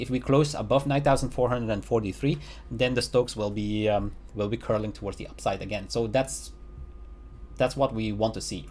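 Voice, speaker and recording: A man's voice: fairly low pitch, darkish tone. A man casually talking through market charts, with lots of fillers and restarts, recorded at home. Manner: halting, many fillers and restarts; chuckles at own remarks. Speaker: crypto market analyst. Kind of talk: casual chart analysis commentary.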